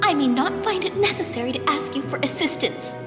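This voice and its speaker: Very high girlish fairy queen in echoing hall. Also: animated, brisk. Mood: cool defiance. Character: young fairy queen, girlish, emotional and insecure. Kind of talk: anime-style video game voice acting. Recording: echoey reverberant hall, loud music behind.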